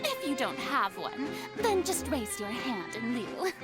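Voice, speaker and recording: A woman's voice: a little high, very animated, a little slow. A woman playing a grandiose, showy young female ruler in a video game, declaiming a pompous public speech. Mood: grand, celebratory. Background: music.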